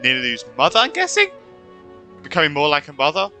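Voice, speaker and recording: Sharp, bright-voiced man gaming casually. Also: on the high side, wildly swooping pitch, brisk. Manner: offhand, muses aloud. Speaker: gamer playing through a story quest. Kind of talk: casual playthrough commentary. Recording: game music behind commentary.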